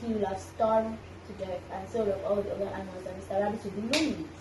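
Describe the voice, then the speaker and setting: A woman's voice: medium pitch, steady tone, fast talker. A female story-time listener responding to the storyteller in a children's story chat. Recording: echoey, distant mic, noisy background.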